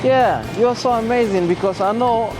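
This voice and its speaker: A man's voice: very high-pitched, normal speed, rough recording. A man filming a street social experiment, praising and thanking a stranger effusively. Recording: street clip with music. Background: background music.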